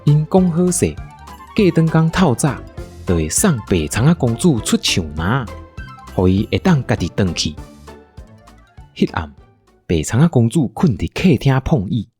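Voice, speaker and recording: Lively Taiwanese woman storyteller. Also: a bass voice, a little slow, long pauses, soft background music. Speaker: podcast host and storyteller. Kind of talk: playful scripted story narration.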